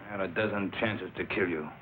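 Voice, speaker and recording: Low, sardonic noir tough guy, acted by a man. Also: quick pace, lightly acted. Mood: menacing, sardonic. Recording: noisy old film soundtrack.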